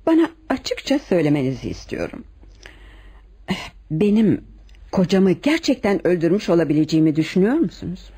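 A charming young wife under suspicion in a radio drama. Her voice is mellow, with hugely varied, theatrical intonation and a poised, deliberate delivery. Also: lowish voice, big pitch swings, breathy edge, full character voice. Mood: probing.

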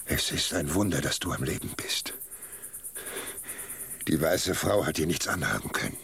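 An actor playing a superstitious, fearful village man in a German radio play, husky and creaky, giving hushed, urgent warnings. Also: a bass voice, sing-song, normal speed, exaggerated voice. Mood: relieved awe.

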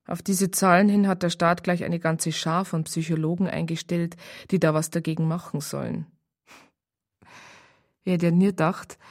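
A woman acting as a strict police psychologist in a radio crime play. Her lowish voice is matter-of-fact and rather formal, with a roomy echo around it. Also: a little mellow, natural voice. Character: strict, self-conflicted female police psychologist, narrating. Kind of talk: radio crime drama, colloquial narration and dialogue.

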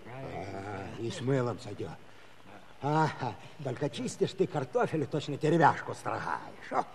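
A man's voice: mid-range voice, sing-song, a little slow, vocal fry, crowd noise. An actor playing a bossy, grumbling Cossack man, folksy and scolding, in a comic radio play.

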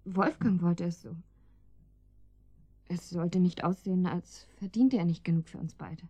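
A woman's voice: lowish voice, unhurried, warm tone, husky, dry recording, highs cut off. A woman playing an anxious, naive housewife in a radio drama, speaking hesitantly and defensively. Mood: wistful, halting. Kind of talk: German radio drama acting.

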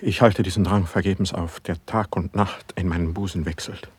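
A brooding poet in a classical verse radio play. His voice is low and husky, recorded close and dry. He declaims with fervour, restless and melancholy, as if driven by his work. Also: fairly even intonation, moderate pace, dry recording. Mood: fervent, anguished. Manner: declaimed, fervent stage diction.

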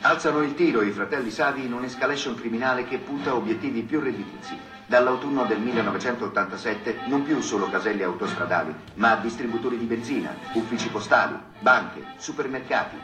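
A man's voice: mid-range voice, fairly quick, hardly any pauses, roomy sound, recorded from a distance, music in the background. A man delivering scripted, documentary-style crime narration as a narrator.

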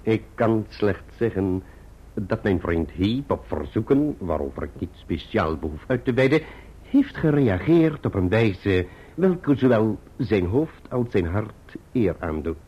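An actor playing a pompous, genteel middle-aged clerk in a radio drama, florid and wordy with deliberate pauses. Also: male voice, fairly low pitch, very animated, slightly rough, heavily acted. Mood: delicately evasive. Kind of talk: theatrical radio drama acting. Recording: muffled, dull old radio drama recording.

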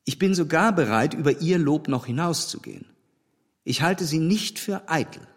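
An actor playing a frank, critical gentleman in a literary radio play, opinionated and wry in a formal way. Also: on the high side, expressive, normal speed. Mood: frank, measured. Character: frank, critical English gentleman, dryly teasing.

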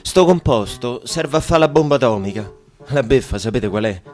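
An actor playing an anxious, sardonic deported forced labourer with a Roman accent, in a radio drama. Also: male voice, mid-range voice, expressive, normal speed, a little mellow, faint music behind, close to an everyday voice. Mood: bitter irony.

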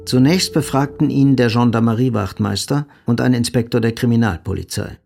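A man's voice: medium pitch, a little fast, gravelly, a little mellow, soft background music. A man delivering scripted literary narration for a radio drama.

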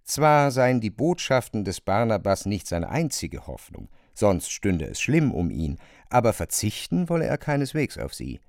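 A man narrating a literary radio play in a measured, articulate way. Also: on the low side, very animated, vocal fry, a little mellow, no room sound, studio quality.

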